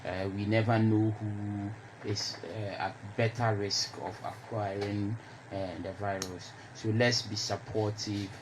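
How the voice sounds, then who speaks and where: A young Ghanaian man speaks off the cuff as a radio panelist, halting and searching for words. His voice is on the low side, with clear noise in the background. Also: little pitch movement. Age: young adult. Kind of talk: unscripted panel discussion. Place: radio studio.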